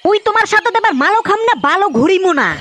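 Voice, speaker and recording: A performer voicing a bossy, foul-mouthed young man in a comedy cartoon, with a North Bengal accent and a shrill voice. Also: fast talker, fairly bright tone, slight background noise. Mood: scolding. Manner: foul-mouthed, scolding, rustic. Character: Tepu, bossy scolding older-brother friend, young man.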